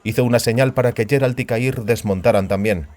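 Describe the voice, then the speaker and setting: A man's voice: medium pitch, fairly quick, darkish tone. A man reading scripted literary narration for a fantasy audiobook as its narrator.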